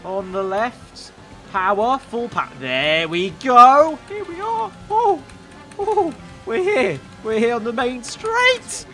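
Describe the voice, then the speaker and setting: A young man talks through a racing game in bright, slightly hoarse tones, his pitch jumping around a lot. He moves from steady play-by-play to sudden shouts when cars crash. Faint game music plays behind him. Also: takes it slowly. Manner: excitable, bursts into shouts at crashes. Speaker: gaming YouTuber.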